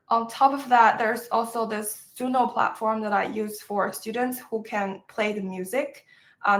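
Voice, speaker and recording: A woman from China with a Chinese accent, presenting to educators over a video call, speaking with many fillers and pauses in a friendly tone. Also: medium pitch, a bit bright. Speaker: professor presenting. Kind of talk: webinar presentation.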